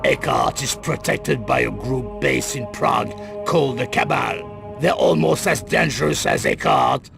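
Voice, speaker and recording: An actor playing a grim, menacing Parisian gang boss in a video game, speaking in an ominous, dramatic way. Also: male voice, medium pitch, very animated, a little slow, vocal fry, music in the background, exaggerated voice. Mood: ominous, grave. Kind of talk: video game cutscene acting.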